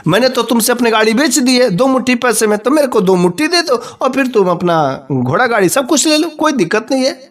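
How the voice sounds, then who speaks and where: A man's voice: extremely high pitch, expressive, a little fast, few pauses, pitched above the performer's own voice. A man voicing a crafty, vengeful young farmer's son in a folk story, stubbornly repeating his demands. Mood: angry, stubborn. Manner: insistent, repetitive demanding.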